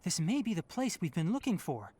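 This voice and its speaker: A man playing a young, practical forest ranger in a video game, speaking in a measured, precise, instructive way. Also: high-pitched, very animated, mellow, breathy edge, full character voice. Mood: measured, cautious. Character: young male fox-eared forest ranger, calm, practical, fastidious. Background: game music.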